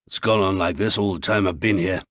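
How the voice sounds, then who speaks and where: A man's voice: sits low, steady tone, fairly quick, fairly bright tone, no room sound, clean studio sound. Man playing a grumbling, irritable British sailing man.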